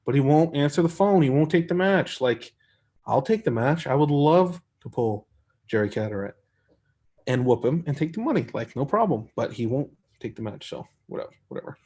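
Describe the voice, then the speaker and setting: A man hosting a casual live stream, reading viewer comments and answering them in an easygoing, rambling way with a soft voice. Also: a little high, expressive, normal speed. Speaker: arm wrestler hosting a live chat stream.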